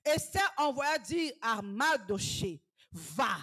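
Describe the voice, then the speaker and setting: A woman evangelist, preaching a sermon fervently, dropping to hushed whispers and rising to shouted exhortations. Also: a little high, big pitch swings, slow pace, breathy edge.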